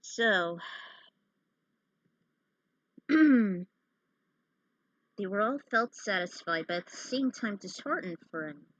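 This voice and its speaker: A woman narrating her own story casually, pausing for halting, informal asides to viewers. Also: medium pitch, animated, poor audio. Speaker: fan fiction author narrating own story.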